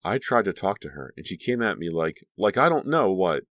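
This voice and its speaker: A man's voice: sits low, big pitch swings, fairly quick, fairly bright tone, no room sound, clean studio sound, pitched above the performer's own voice. An actor voicing a jealous, hostile teenage girl who talks in a curt, threatening way. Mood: indignant. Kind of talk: audiobook character reading.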